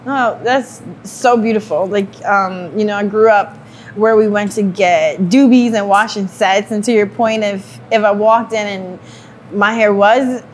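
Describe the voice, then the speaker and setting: A woman's voice: mid-range voice, fairly even intonation, a little slow, slight background noise. Chatty woman presenting hair products. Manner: friendly, chatty with frequent "um". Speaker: brand presenter. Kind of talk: casual chat and product explanation.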